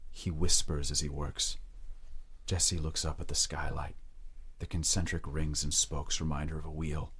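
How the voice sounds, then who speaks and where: Very deep, husky male narrator. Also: little pitch movement, moderate pace, fairly bright tone. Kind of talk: dramatic scripted narration in present tense.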